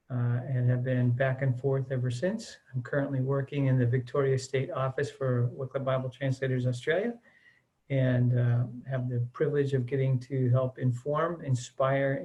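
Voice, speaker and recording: A man's voice: medium pitch, dark tone, lo-fi sound. A middle-aged man from the United States, talking in an earnest, chatty way with lots of "uh" and "um" as an interview guest. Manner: earnest, chatty, with many "uh" and "um" fillers. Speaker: interviewee, missions mobilizer. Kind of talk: relaxed conversational interview.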